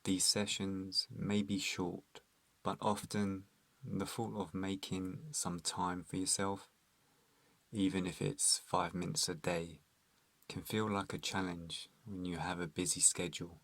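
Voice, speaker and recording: A man narrating a guided meditation in a calm, soothing, instructional manner, leaving long pauses between phrases. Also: deep voice, gravelly, no echo.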